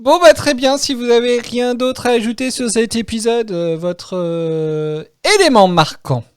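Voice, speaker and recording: A man hosting a casual group podcast, repeating himself and telling things in an animated, hesitant way. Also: extremely high pitch, measured pace, smooth. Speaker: podcast host.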